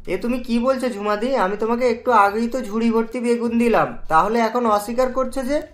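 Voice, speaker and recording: A man's voice: very high voice, a little fast, fairly bright tone, soft background music. A man voicing an earnest, hopeful poor village farmer in a cartoon. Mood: upset, protesting.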